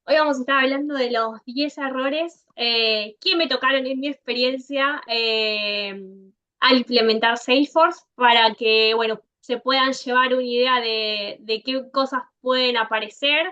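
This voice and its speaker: A woman's voice: on the high side, fairly even intonation, a little slow, sharp tone, muffled recording. A woman from Argentina with an Argentine accent, giving a conference talk as a consultant in a conversational way, with frequent "eh" fillers. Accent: Argentine Spanish. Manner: conversational, many "eh" fillers, addresses the audience directly. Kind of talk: online conference presentation.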